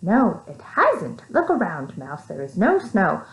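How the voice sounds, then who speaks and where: A woman's voice: on the low side, huge pitch swings, a little slow, reverberant, exaggerated voice, rough recording. A woman voicing a grouchy, solemn female mouse in a children's story, stern and emphatic. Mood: scolding.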